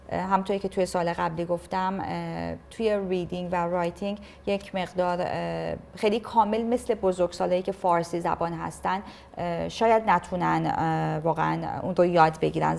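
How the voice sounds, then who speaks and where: A woman interviewing a guest and translating between two languages, pausing often with filler sounds as she goes. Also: lowish voice, level tone, vocal fry, a little hiss or hum. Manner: hesitant, many filler sounds while translating. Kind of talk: bilingual interview, translating questions and answers.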